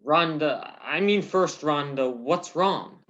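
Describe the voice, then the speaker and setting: Man acting a melodramatic soap-opera husband, earnest, anguished, dim, slow delivery, dull lo-fi sound. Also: fairly high pitch, animated, fairly bright tone. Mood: bewildered. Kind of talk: radio play soap opera parody. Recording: dull lo-fi recording.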